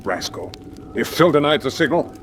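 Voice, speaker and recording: Man playing an animated, forthright gentleman landowner. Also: fairly high pitch, fairly quick, faint music behind, lightly acted. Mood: indignant. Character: Sir Henry, forthright hot-tempered young gentleman landowner. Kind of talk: radio drama acting.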